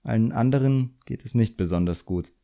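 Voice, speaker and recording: A man's voice: mid-range voice, vocal fry, warm tone, close to an everyday voice. Man voicing a composed, frank woman drawing teacher. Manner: instructive, measured, pointed.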